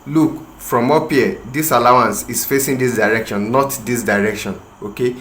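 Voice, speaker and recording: A man from Nigeria with a Yoruba-tinged Nigerian English accent, walking through a sewing tutorial with a slow, hesitant manner full of pauses and restarts. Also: mid-range voice, fairly even intonation, a bit bright. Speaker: tailoring instructor. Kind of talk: tutorial demonstration. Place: room with a patterned rug, working on the floor. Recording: echoey room, faint ambient noise.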